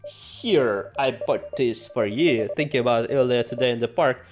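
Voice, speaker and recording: A man's voice: medium pitch, normal speed, pitched below the performer's own voice, natural voice. Man voicing a timid, eager young suitor, music behind. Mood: shy. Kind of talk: game dialogue read aloud.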